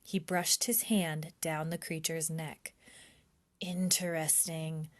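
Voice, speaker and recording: A woman reading a children's chapter book aloud in an expressive storytime style. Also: low-pitched. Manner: slow, clear, animated storybook delivery.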